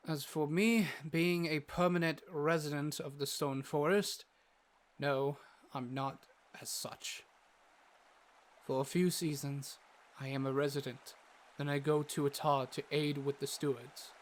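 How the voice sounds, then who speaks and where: Man acting a brisk, learned fantasy doctor, slightly high. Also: steady tone. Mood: patient. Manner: instructive, formal wording. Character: learned, brisk male doctor and forest guide. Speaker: voice actor in audio drama. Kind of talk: fantasy audio drama acting.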